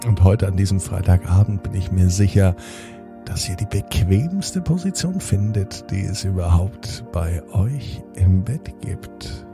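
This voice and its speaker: A man hosting and narrating a children's bedtime story in an expressive manner, speaking directly to young listeners. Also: sits low, a little slow, over music. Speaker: podcast host and storyteller.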